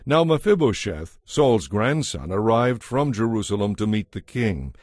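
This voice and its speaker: A man narrating scripture from a script in a measured, formal manner. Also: lowish voice, lively intonation, darkish tone, dry recording, studio quality.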